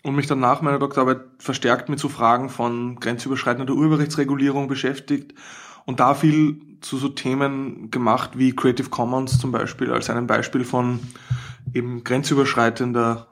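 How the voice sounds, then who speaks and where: A man's voice: medium pitch, level tone, moderate pace, fairly bright tone. A man with an Austrian accent, an academic guest explaining his work conversationally in an interview.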